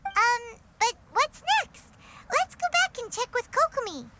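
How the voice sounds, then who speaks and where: A woman voicing a tiny, eager fairy companion girl in a video game, with a squeaky childlike voice and a perky manner. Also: big pitch swings, unhurried, heavy background noise, full character voice. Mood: upbeat, caring. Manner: perky, refers to self in third person. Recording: loud music behind.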